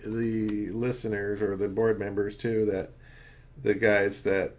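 A man with a somewhat low voice co-hosts a relaxed podcast chat. He rambles, often restarting his sentences partway through. The sound is dull and lo-fi, lacking its top end. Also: takes it slowly. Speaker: podcast co-host. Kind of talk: casual chat.

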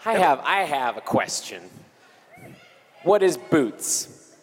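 A man's voice: fairly high pitch, very animated, slow. A man hosting a live improv comedy show with playful, teasing announcements. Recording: echoey live hall, faint noise.

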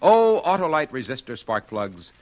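A man working as a commercial announcer, delivering a peppy, salesmanlike 1940s radio ad pitch. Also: sing-song, moderate pace, a little mellow. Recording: dull vintage 1940s radio broadcast.

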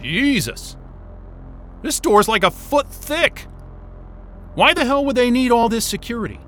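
A man's voice: very high voice, measured pace, soft background music, exaggerated voice. A man playing a frantic, hot-tempered, impatient stranded man in a naturalistic audio drama. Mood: puzzled, wary. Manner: excitable, exasperated, muttering and cursing.

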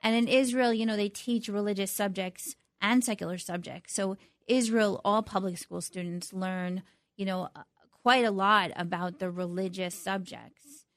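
A middle-aged woman speaking as an interview guest, reflective and halting, often restarting her sentences. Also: medium pitch, fairly even intonation, no echo, studio quality. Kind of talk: conversational interview.

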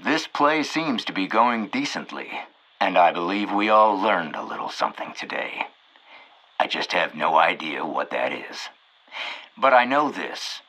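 Folksy town-pageant speechmaker: husky, unhurried, rambling solemnly, audio drama style. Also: male voice, low-pitched, sharp tone, full character voice, low-quality recording. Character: folksy man wrapping up a town history play. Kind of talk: audio fiction acting.

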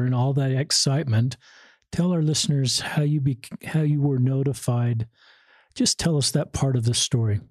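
A man's voice: mid-range voice, a little mellow, no room sound. A man hosting a podcast, asking questions in a conversational interview style.

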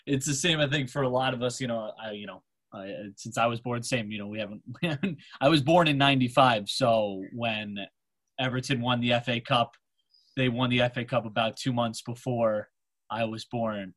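Young American podcast host, hesitant. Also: male voice, medium pitch, normal speed, fairly bright tone. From United States. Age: 20s. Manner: hesitant, lots of fillers. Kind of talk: casual podcast hosting.

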